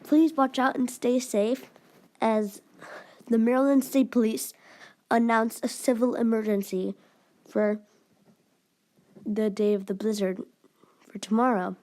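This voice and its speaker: A woman giving mock emergency news announcements in a formal but halting, amateur way. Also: fairly high pitch, fairly even intonation, dark tone.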